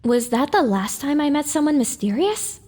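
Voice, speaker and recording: A woman's voice: sits high, animated, normal speed, darkish tone. A woman voicing a confused, questioning young pony mare, the heroine of an audio drama. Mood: bewildered. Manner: questioning, bewildered.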